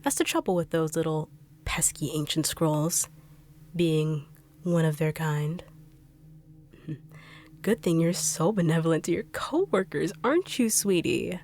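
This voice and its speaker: Woman voicing a low, taunting villainous huntress. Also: darkish tone. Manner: taunting, purring, slow teasing delivery with whispered asides. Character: smug villainous female treasure hunter, mocking and cruel. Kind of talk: audio roleplay drama.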